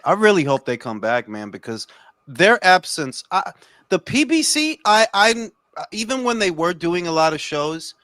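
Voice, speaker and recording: A man's voice: high-pitched, sing-song, normal speed, a bit bright, no room sound, studio quality. A Dominican man hosting a casual boxing chat, speaking in profane, emphatic slang and repeating himself for emphasis. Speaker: boxing talk show host.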